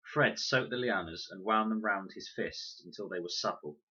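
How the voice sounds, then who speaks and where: A man reading a children's novel aloud in an expressive narration style. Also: lowish voice, normal speed, husky, highs cut off. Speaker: audiobook narrator, reader.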